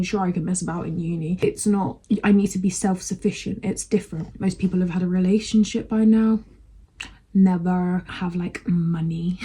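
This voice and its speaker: Young British woman, 19, mellow breathy voice, frank rambling vlogger who swears freely. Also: fairly low pitch. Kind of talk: casual to-camera chat.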